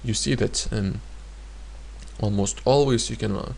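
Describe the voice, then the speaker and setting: A man teaching a grammar lesson in a hesitant way, pausing often and repeating each example sentence. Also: lowish voice, vocal fry, a little mellow, slight background noise. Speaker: language teacher.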